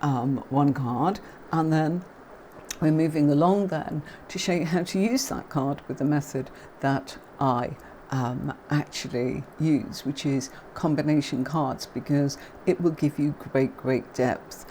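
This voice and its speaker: Low voiced english woman from yorkshire, chatty tarot livestream, lots of ums, faint hiss behind. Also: a little slow. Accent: neutral southern English, faint Yorkshire. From Yorkshire, England. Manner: chatty, warm greetings to viewers, many fillers. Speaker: tarot reader hosting a livestream. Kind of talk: casual livestream chat and tarot teaching.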